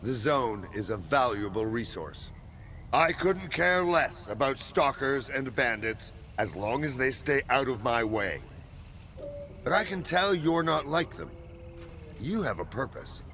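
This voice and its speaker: An actor playing a ruthless, authoritative military commander in a video game cutscene, speaking in measured, commanding phrases. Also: male voice, medium pitch, very animated, sharp tone, slight background noise, exaggerated voice. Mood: cold, resolute. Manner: commanding, measured, clipped orders.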